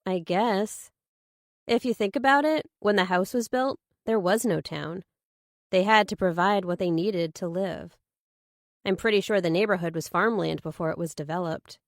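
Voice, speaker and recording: A woman's voice: medium pitch, moderate pace, no room sound, clean studio sound, lightly acted. A woman voicing a reserved young heroine in audiobook dialogue, hesitant and matter-of-fact, with understated replies.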